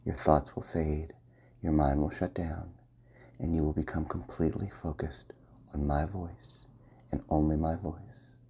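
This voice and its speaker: A man with a very low, dark voice leads a slow ASMR hypnosis session. His tone is gravelly and deeply breathy, and he speaks in soft, commanding phrases that often sink to a half-whisper. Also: fairly even intonation.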